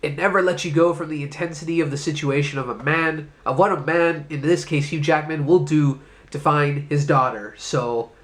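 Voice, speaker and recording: A man with a slightly high voice talks through a heavy cold, his nose stuffed up and a cough breaking in now and then. He rambles loosely and casually and drops the odd curse word while he hosts his own movie review show. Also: steady tone, moderate pace, a bit bright. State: coughing, sick. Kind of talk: casual solo video review.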